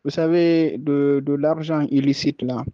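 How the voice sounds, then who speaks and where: A man's voice: fairly high pitch, steady tone, unhurried, dry recording. A man asking a question on an online call, hesitant and trailing off with fillers. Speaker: caller. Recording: dull dry call audio.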